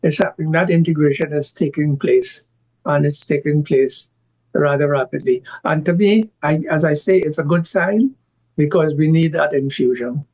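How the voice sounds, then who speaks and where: An older man with a Trinidadian accent, a guest analyst who speaks haltingly with many restarts and strong emphasis. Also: on the high side, a little mellow, husky, rough recording. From Trinidad and Tobago. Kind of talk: current affairs discussion.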